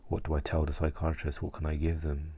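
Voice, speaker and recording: A man speaking in a hesitant, halting way, searching for words and subdued with distress, in a personal reflective vlog monologue. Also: extremely low pitch, monotone, mellow, breathy edge. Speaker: mental health vlogger.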